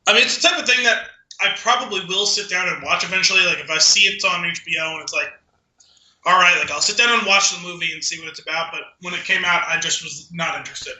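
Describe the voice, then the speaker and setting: A man with a high-pitched, intensely bright and edgy voice talks casually as a podcast co-host, offering opinions. The sound is roomy, with clear echo around his words. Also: quick pace. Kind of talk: casual chat, giving opinions.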